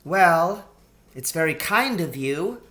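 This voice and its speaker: A man reads as an innocent little girl in a children's storybook, lifting his pitch. The girl is polite and a little puzzled, with lively intonation. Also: slow pace, exaggerated voice. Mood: polite, hesitant.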